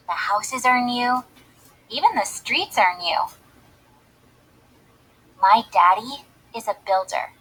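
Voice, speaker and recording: Woman as bright, husky-edged curious young storybook girl. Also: on the high side, heavily acted, poor audio. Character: Vivian, curious young girl watching building machines. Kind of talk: read-aloud children's story narration.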